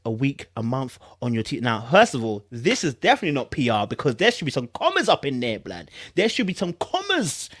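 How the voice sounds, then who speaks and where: A man's voice: mid-range voice, no echo. London man, casual commentary, dry close sound. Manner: informal, slangy, repeats himself for emphasis. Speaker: YouTube commentary host. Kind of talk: casual reaction commentary with reading aloud.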